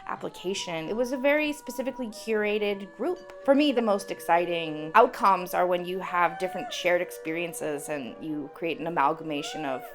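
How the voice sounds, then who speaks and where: A woman's voice: on the low side, moderate pace, few pauses. A woman, a music professor, speaking confidently about a project in an interview. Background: faint music.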